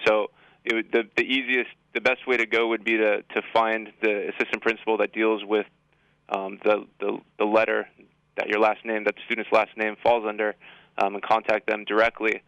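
A man's voice: fairly low pitch, flat delivery, moderate pace, gravelly, a bit bright, clean tone, dry recording, dull audio. A man giving informal, explanatory answers as a school administrator in a radio interview. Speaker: high school assistant principal, guest.

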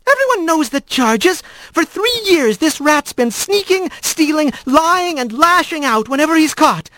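Man voicing a shrill, hot-tempered female squirrel magistrate. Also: very animated, unhurried, sharp tone, no room sound, heavily acted. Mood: accusing. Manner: accusing, snappish, emphatic.

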